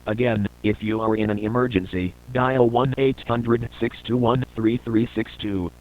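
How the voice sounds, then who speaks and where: A man reading a scripted emergency broadcast announcement in a flat, formal, measured manner. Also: mid-range voice, phone-quality sound.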